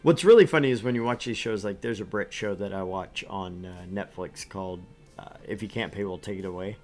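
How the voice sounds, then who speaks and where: A man streaming a visual novel, reading narration aloud and chatting with his co-host, animated and quick to laugh. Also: low-pitched, faint music behind. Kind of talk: game stream reading and chat.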